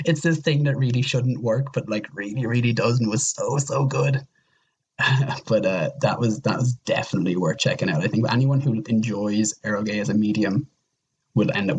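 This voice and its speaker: A man's voice: fairly low pitch, a little hiss or hum, rough recording. A man chatting casually as a podcast guest, rambling enthusiastically and piling up intensifiers as he recommends things. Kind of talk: casual chat, recommending games.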